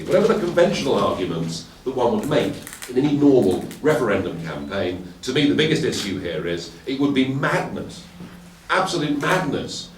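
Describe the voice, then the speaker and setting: A man giving an emphatic political speech in a meeting room. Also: mid-range voice. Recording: echoey room, distant mic, background noise.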